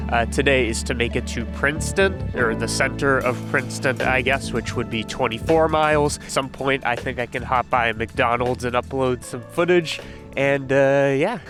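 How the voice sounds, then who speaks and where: A young man with a congested voice talking casually to viewers while walking outdoors, with joking asides. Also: medium pitch. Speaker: vlogger walking across a state. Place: outdoors by roadsides.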